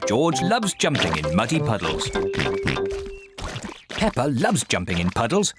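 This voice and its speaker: Dry-voiced cartoon narrator over music. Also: male voice, mid-range voice, measured pace. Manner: calm, dry, understated. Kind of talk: scripted storybook narration.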